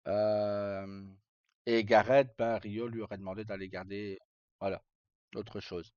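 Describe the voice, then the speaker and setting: A man's voice: fairly low pitch, level tone, takes it slowly, darkish tone, clear, resonant tone. A man chatting casually to live viewers as a streamer, rambling and hesitant in colloquial speech with some swearing. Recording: dull lo-fi mic in echoey room.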